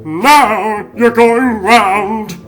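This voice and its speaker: A man's voice: sits high, drawn-out words, fairly bright tone, faint music behind, exaggerated voice. A man voicing a gushing, wisecracking crab real-estate huckster villain in a comic dub. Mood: smug, menacing. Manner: effusive, wisecracking. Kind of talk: theatrical comic book dub acting.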